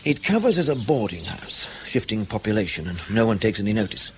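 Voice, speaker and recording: An actor playing a blunt, wry British intelligence chief in a radio spy drama, clipped and matter-of-fact, with colloquial phrasing. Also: male voice, lowish voice, sing-song, a little fast, slight background noise.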